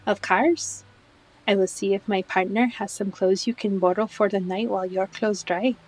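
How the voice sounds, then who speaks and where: A woman playing a calm, helpful tavern bartender in a fantasy audio roleplay, speaking politely and in a measured way. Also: mid-range voice, close to an everyday voice, low-quality recording. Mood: helpful, courteous.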